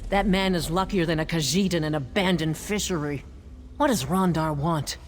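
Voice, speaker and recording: An actress playing a wary, dry-witted officer in a fantasy video game, speaking in a guarded, formal way. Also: female voice, lowish voice, normal speed, lightly acted. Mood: wry. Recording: long cavernous reverb, noisy ambience.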